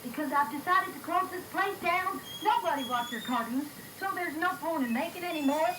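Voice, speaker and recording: A woman playing a gruff, grumbling middle-aged male studio boss in a story read on an old reel-to-reel tape. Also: high-pitched, normal speed, lightly acted. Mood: bitter. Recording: echoey, noisy reel-to-reel tape.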